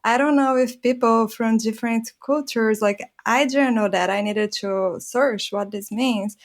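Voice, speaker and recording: A woman's voice: a little high, measured pace. Brazilian-accented woman, earnest podcast guest. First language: Portuguese. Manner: earnest, laughs often while explaining. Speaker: product designer guest. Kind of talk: conversational podcast interview.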